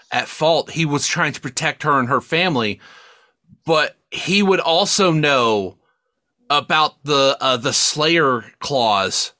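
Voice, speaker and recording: Bright, emphatic man talking movies. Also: a little high, dry recording, clean studio sound. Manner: emphatic, sweary. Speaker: podcast co-host reviewing films. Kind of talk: casual, opinionated film discussion.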